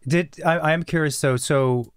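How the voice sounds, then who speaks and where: A man's voice: a little high, unhurried, clear, resonant tone, no room sound. A young man hosting a podcast, asking curious, loose follow-up questions into a studio mic. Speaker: podcast host. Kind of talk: casual interview questions. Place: studio with plants. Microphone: studio mic on boom arm.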